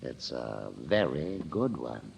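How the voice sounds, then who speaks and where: Gravelly, slippery suspect. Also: male voice, very deep voice, sing-song, takes it slowly, darkish tone, full character voice. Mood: sly, testy. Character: slippery, needling male murder suspect. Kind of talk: old-time radio crime drama acting. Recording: muffled old radio recording.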